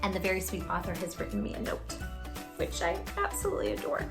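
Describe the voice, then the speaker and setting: A woman's voice: lowish voice, wildly swooping pitch, quick pace, gravelly, music in the background. A woman hosting a children's read-aloud, chatting to young listeners in an encouraging way and addressing them directly with questions. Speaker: host reading picture books aloud to children.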